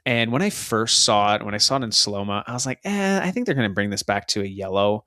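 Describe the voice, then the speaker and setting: A man's voice: fairly low pitch, expressive, fast, pushed and bright, no echo, studio quality. A man co-hosting a casual soccer podcast, chatting through match recaps in a rambling, easygoing way. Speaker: podcast co-host.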